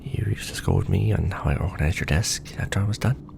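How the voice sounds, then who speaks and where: A man's voice: low-pitched, a little fast, creaky, noisy background, voice pushed up. A man playing a nervous, joking young ex-janitor in a roleplay audio drama, hesitant and informal.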